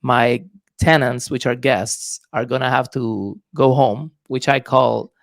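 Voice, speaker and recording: Man, Puerto Rican accent, gravelly voice, hesitant and thoughtful, close dry recording. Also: medium pitch, fairly even intonation, slow, no room sound. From Puerto Rico. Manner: thoughtful, hesitant with many fillers. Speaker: guest, real estate investor. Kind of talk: casual podcast interview.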